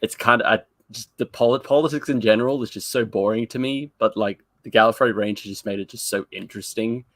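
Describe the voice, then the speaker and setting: A man co-hosting a podcast, talking casually in an animated, rambling, self-deprecating way. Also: fairly low pitch, quick pace, few pauses, dry recording. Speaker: podcast co-host. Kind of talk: casual fan podcast chat.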